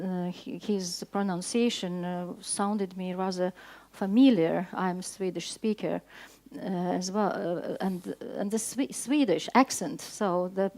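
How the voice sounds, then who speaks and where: A woman with a Latvian accent speaking as a panelist at a conference, halting often with fillers and restarts. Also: medium pitch, darkish tone. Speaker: diplomat panelist. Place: conference hall.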